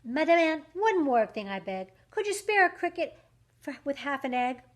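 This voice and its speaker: A woman's voice: high voice, big pitch swings, moderate pace. A woman voicing an eager, scatterbrained male cricket in a rhyming storybook, exclaiming politely and getting flustered. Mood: polite pleading.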